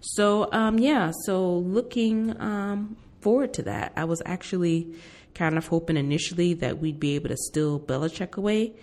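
Low-pitched American woman in casual chat. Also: normal speed, a little mellow. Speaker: podcast co-host.

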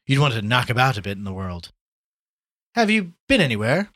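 A man's voice: mid-range voice, very animated, brisk, fairly bright tone, a little hiss or hum, voice pushed up. A man voicing a jovial, boastful journalist in a storybook, hearty and exclamatory. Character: loud, swaggering, jovial male Irish journalist back from London.

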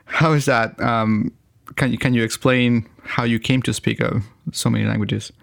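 A man interviewing a guest, asking questions in a halting way and repeating words as he goes. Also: mid-range voice, fairly even intonation, vocal fry, a bit bright, dry recording, studio quality.

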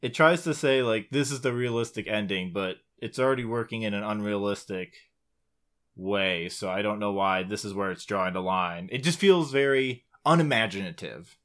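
A man's voice: on the low side, normal speed, a bit bright. Male podcast co-host, informal and sweary, casual gaming chat between friends. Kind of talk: casual chat about video games.

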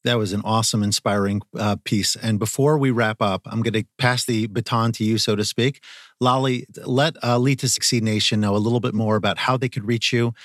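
A man's voice: on the low side, fairly even intonation, fairly quick, no echo, studio quality. A middle-aged man hosting an interview podcast, encouraging and speaking with frequent fillers. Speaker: podcast host.

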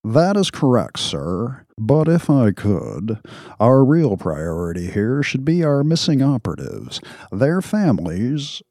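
A man's voice: medium pitch, big pitch swings, slow pace, mellow, no echo, pitched above the performer's own voice, exaggerated voice. A man voicing Ken, a deferential male officer with a thin, dry voice.

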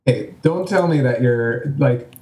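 A man's voice: medium pitch, a little slow, a little mellow. A man interviewing someone in a casual, chatty way. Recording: roomy, echoey sound.

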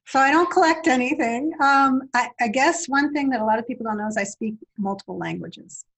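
Husky woman, native Italian speaker, reflective podcast guest pausing on fillers. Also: a little high, fairly bright tone, rough recording. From Italy. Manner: thoughtful, with frequent fillers and restarts. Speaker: university guest. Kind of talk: podcast interview.

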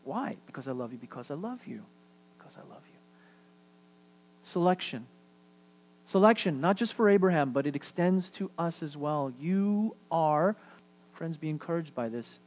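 New guy preaching, kinda high voice, goes real quiet to make a point, little hum behind. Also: low-quality recording. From New York City. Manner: earnest, repetitive, drops to near-whisper for emphasis. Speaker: pastor. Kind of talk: sermon.

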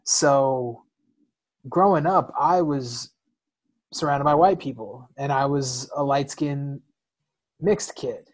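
A man's voice: medium pitch, slow, pauses often. A man from the United States talking candidly about himself in a conversation, as a guest, sometimes repeating words as he searches for phrasing. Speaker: interview guest. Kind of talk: candid personal storytelling in conversation.

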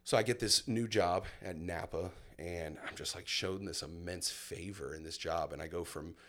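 A man in his thirties with a very low, deep voice talks through his own past in a relaxed conversation. He sounds candid and reflective, with plenty of "um"s and pauses as he tells it. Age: 30s. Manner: candid, reflective storytelling with many fillers. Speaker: guest sharing his testimony. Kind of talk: casual personal conversation.

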